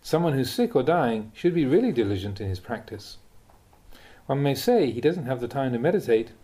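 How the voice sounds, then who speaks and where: A man reading Buddhist teachings aloud in a measured, deliberate way with emphatic pauses. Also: medium pitch, very animated, warm tone. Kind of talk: read-aloud spiritual teaching.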